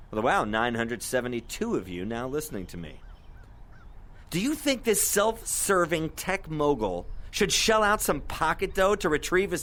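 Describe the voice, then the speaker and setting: A man's voice: medium pitch, fairly bright tone. An actor playing a brash, irreverent internet radio host with a sardonic, punchy showman's delivery in an audio drama. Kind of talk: audio drama acting as live call-in radio show.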